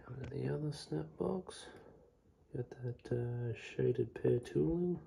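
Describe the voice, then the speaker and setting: A man reviewing a product hands-on, speaking casually in short, halting, appreciative remarks, recorded on a phone camera. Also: medium pitch, dark tone. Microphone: phone camera mic. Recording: clear background noise, phone-camera recording.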